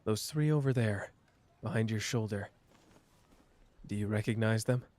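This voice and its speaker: A man's voice: on the low side, a little mellow, lightly acted. A young man voicing a clever, protective young man in an ASMR roleplay, talking in a dry, teasing way close to the listener. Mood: urgent. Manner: dry, teasing, quick-witted, close and intimate.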